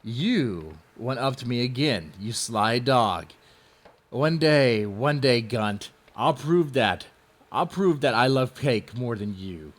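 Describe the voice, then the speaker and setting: A male host delivers mocking commentary in a mid-pitched voice. His delivery is sardonic and theatrical, with an animated rise and fall. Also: takes it slowly. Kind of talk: mocking commentary on a post he reads.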